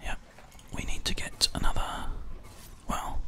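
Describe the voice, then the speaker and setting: A game host giving ASMR gameplay commentary in a breathy whisper, close on a binaural microphone. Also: a little slow, pushed and bright. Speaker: gaming video host. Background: keyboard and mouse clicks.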